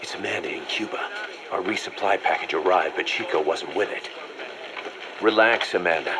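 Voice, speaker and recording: A shrewd male military deputy in a video game. His voice sits low. He lays out plans in a pragmatic, calculating manner, like an officer giving a briefing. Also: normal speed, gravelly, background noise. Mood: composed. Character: shrewd, pragmatic male mercenary second-in-command. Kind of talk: dramatic video game dialogue.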